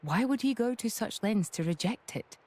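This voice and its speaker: An actress playing a shrewd, composed military noblewoman in a video game, measured and formal. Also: a little high, expressive, a little mellow. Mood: pensive.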